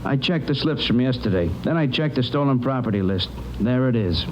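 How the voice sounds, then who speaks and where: A man's voice: medium pitch, animated, brisk, a little mellow, lightly acted. An actor playing a folksy, chatty, exasperated pawnbroker in a 1950s radio drama, repeating himself as he grumbles. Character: chatty, exasperated male pawnbroker, friendly with police. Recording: dull, noisy old radio recording.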